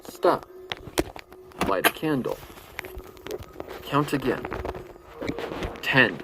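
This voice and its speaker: A man's voice: medium pitch, expressive, very slow, heavy background noise. A man narrating a horror story in a suspenseful, theatrical way. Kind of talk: dramatic horror fan-fiction narration. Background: faint music.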